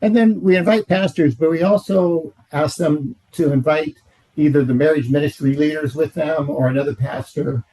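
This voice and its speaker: A man in his sixties, a marriage ministry director, sharing his experience on a video call with frequent pauses and fillers. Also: fairly high pitch, dark tone, slightly rough, no echo, low-quality recording. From Central California. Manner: hesitant, many fillers.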